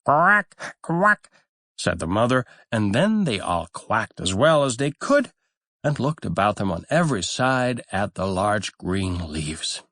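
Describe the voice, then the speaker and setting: Deep-voiced man telling a fairy tale, unhurried. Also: no room sound, clean studio sound. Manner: measured, expressive storytelling. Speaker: audiobook narrator. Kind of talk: scripted fairy tale narration.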